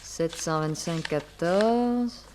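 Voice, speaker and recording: An actress playing an obliging telephone exchange clerk, polite and precise, in a 1960s French radio serial. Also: female voice, lowish voice, extremely slow, darkish tone, slight background noise. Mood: helpful.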